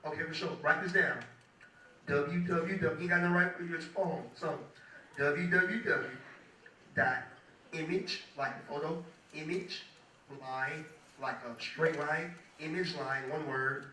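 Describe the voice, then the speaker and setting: A young man in his twenties speaks to a crowd, hoarse from days of talking, in a loose, chatty way. His voice is bright and a little high. It is heard from a distance in an echoey hall. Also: a little hiss or hum. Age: 20s. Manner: loose and chatty, keeps asking the crowd "you feel me". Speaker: guest speaker, music producer. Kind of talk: casual motivational talk to an audience.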